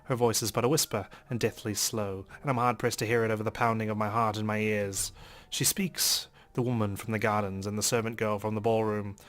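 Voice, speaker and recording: A man playing and reading a visual novel aloud in a dramatic, expressive way as a let's player. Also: lowish voice, a little fast. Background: game music.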